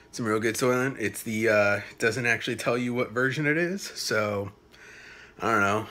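A man's voice: fairly low pitch, bright, forceful tone. A young man in his late twenties vlogging to a phone camera in a small apartment, rambling casually, swearing and trailing off with fillers. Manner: loose, swearing, halting with fillers. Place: small apartment room indoors. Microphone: phone camera at arm's length.

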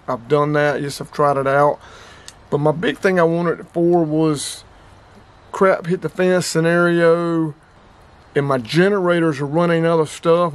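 A man in his fifties hosting a casual vlog, talking through a product demo in a plain-spoken, rambling way that repeats itself, close to the camera in a small storage room. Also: a little high. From North Carolina. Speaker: outdoors and radio channel host.

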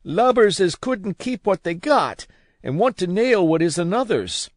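A man voicing a weak, feverish old sea captain who curses and pleads in rough sailor talk. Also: sits high, very animated, unhurried, no echo, studio quality, voice pushed up. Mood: agitated. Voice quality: feeble, wheedling.

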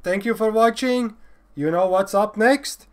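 A man in his thirties giving casual, excitable off-the-cuff commentary as a reaction video host, often exclaiming and repeating his phrases. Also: high voice, unhurried. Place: indoor room. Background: game trailer music.

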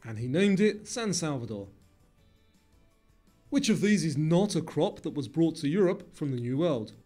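A man narrates a scripted quiz in a fairly high, very mellow voice. His delivery of questions and answers is measured, with faint music underneath. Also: animated.

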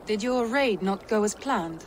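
An actress playing a composed, frank Viking noblewoman in a video game, measured and formal. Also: medium pitch, expressive, a little hiss or hum, lightly acted. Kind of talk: dramatic game cutscene acting.

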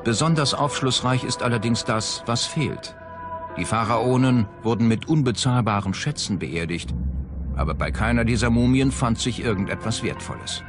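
A man's voice: medium pitch, lively intonation, moderate pace. A man narrating a documentary from a script. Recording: music behind the voice. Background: prominent background music.

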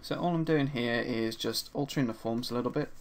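A man with a slightly hoarse voice from a sore throat narrates a screen-recorded 3D sculpting tutorial in a relaxed, chatty way, with frequent ums and uhs. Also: mid-range voice, brisk. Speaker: 3D art instructor. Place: at his desk, voice-over for a screen recording.

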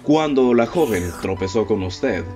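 Analytical gentleman sleuth, low and measured, played by a man, over music. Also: expressive. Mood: calm. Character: Auguste Dupin, calm analytical young gentleman detective. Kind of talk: student radio drama acting. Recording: music bed behind.

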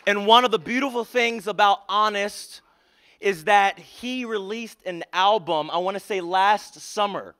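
A man's voice: sits high, unhurried, smooth. A young man emceeing a concert in a large auditorium, speaking earnestly on a handheld mic. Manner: earnest, builds to rousing shouts, hushed prayer. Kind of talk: emcee introductions and public prayer.

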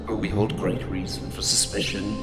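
A man's voice: lowish voice, flat delivery, normal speed, close to an everyday voice. A man playing a wary, worried alien lord in a radio drama, speaking in formal, urgent tones. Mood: worried, protesting. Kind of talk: space adventure radio drama acting. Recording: cavernous reverb with music.

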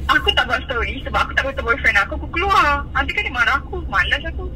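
A woman's voice: sits high, animated, rapid-fire. A young woman with a Malaysian accent chatting casually over a phone speaker, defensive and full of exclamations. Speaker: prank call recipient. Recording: noisy phone call played in car.

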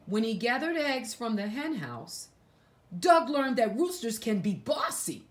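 Slow, very animated woman reading to children. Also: a little high. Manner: dramatic emphasis, with hushed asides to young listeners. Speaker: storyteller reading a picture book aloud to children. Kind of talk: expressive read-aloud storytelling for young children.

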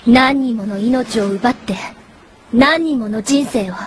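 Fancy scheming rich girl, high voice, polite but mocking, japanese audio drama. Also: takes it slowly, a little hiss or hum. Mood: mocking, menacing. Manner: haughty, refined polite speech, mocking. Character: elegant, scheming young lady, falsely sweet and vengeful.

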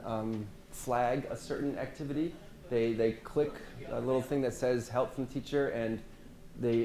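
A man, a French instructor, talking a workshop audience through his teaching in a conversational way, letting his phrase ends drop off quietly. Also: mid-range voice. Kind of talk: workshop presentation and discussion. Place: medium-sized seminar room with audience. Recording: echoey room, faint hiss.

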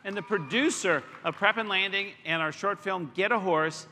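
A man's voice: sits high, normal speed, sharp tone, a little hiss or hum. A middle-aged man presenting and proudly announcing films on a large arena stage. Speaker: studio executive presenting on stage. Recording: arena sound with long reverb.

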